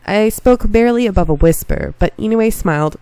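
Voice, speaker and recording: A congested woman reading a visual novel aloud at home, casual and quick to laugh at her slips. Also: medium pitch, animated, warm tone, clear, resonant tone, no echo. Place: home room with a fan.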